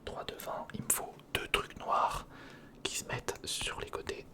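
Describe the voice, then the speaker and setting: A man whispering in a breathy, crackly voice, casually murmuring a step-by-step ASMR commentary as he thinks aloud. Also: measured pace. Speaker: ASMR video creator.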